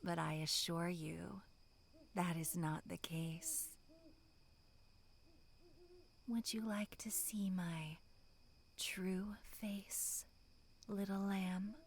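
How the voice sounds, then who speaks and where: A woman plays a vengeful female monster wearing human skin in a horror ASMR roleplay. She is sinister and amused, savoring each taunt in a lowish, half-whispered voice at a crawling pace. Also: slow pace.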